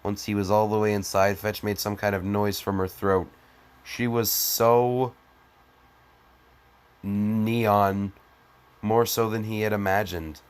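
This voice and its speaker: A man's voice: fairly low pitch, fairly even intonation, slow pace, long pauses, smooth. A man giving a mocking dramatic reading, breaking off into crude, exasperated, sarcastic commentary. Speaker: comedic fan fiction reader.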